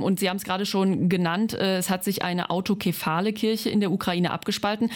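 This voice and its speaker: A woman hosting a radio interview, asking questions in a clear, composed manner. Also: medium pitch, a little mellow.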